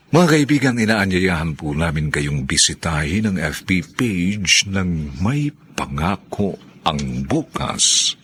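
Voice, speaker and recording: A man's voice: on the low side, creaky, a bit bright, breathy edge. A man narrating a radio drama in a formal, theatrical announcer's manner.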